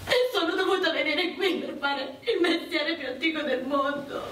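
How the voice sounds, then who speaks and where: An actress playing a gentle, sorrowful widowed mother forced into wartime prostitution, earnest and hesitant in a radio drama. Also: high voice, normal speed. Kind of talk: dramatic radio play dialogue. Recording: roomy, distant mic with faint noise.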